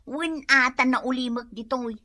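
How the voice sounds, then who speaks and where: A woman playing an unmarried middle-aged woman who answers with sharp, exasperated retorts in a comedy radio drama. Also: high voice, moderate pace, no room sound. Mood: complaining.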